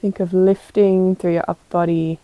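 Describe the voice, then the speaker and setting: A woman guiding a meditation as a yoga teacher, calm and gentle, giving unhurried instructions with pauses. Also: on the low side, dull audio, noisy background. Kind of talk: guided meditation.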